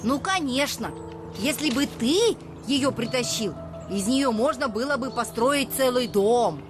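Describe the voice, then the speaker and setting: A woman voicing a curious, naive, excitable little boy alarm clock in a children's cartoon, full of exclamations and drawn-out words. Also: a little high, over music. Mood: puzzled.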